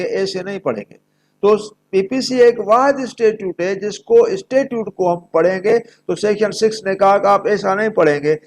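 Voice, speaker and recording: A man's voice: high-pitched, gravelly, darkish tone. A man with a Pakistani accent lecturing on legal provisions in an emphatic, didactic manner. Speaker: law lecturer.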